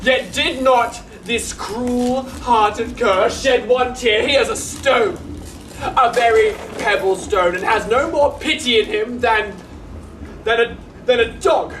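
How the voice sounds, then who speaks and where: A man's voice: very high voice, slow, a bit bright, full character voice. An actor on stage playing a comic, mock-tearful male servant who clowns with his shoes and wails in bursts, in classical verse. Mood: mock-grieving. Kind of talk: live theatre performance of classical verse. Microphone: distant room mic. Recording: roomy, from far off, faint hiss.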